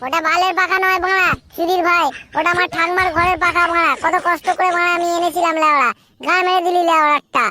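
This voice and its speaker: A man's voice: extremely high pitch, flat delivery, quick pace, pushed and bright, a little hiss or hum, exaggerated voice. A man voicing a bossy, foul-mouthed young club lad in a comedy cartoon. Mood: angry, threatening. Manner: scolding, crude.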